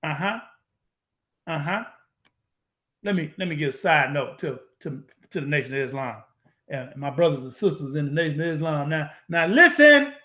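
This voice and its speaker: A man's voice: on the high side, very animated, moderate pace, pushed and bright. A man giving an emphatic lecture to his viewers, repeating his points and asking rhetorical questions in informal street-style grammar. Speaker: lecturer on Moorish American history.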